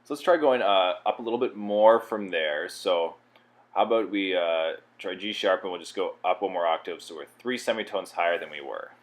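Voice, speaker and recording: Deep-voiced man presenting a tutorial. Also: normal speed. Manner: explanatory, plain-spoken. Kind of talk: instructional software walkthrough.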